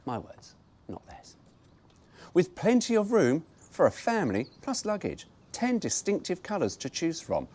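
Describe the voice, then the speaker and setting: A man's voice: sits high, wildly swooping pitch, a little slow, darkish tone. A man reading an old car brochure aloud, breaking off for wry, mock-indignant asides.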